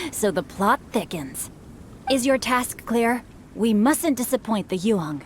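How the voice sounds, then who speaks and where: A woman's voice: on the high side, animated, slow pace, slight background noise. A woman voicing a courteous, chivalrous young noble boy in a video game, with polished, formal, wordy speech. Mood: authoritative.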